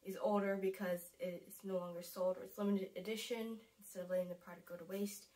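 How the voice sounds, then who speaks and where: Young female vlogger, nasal and congested from a cold, casual rambling to-camera talk, mid pitch. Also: little pitch movement, brisk, warm tone, poor audio. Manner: chatty, rambling, self-correcting, laughs at herself. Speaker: beauty vlogger.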